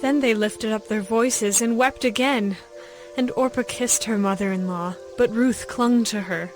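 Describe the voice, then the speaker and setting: Measured woman narrator over music. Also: fairly high pitch, low-quality recording. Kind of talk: scripted Bible narration. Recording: background music under the voice.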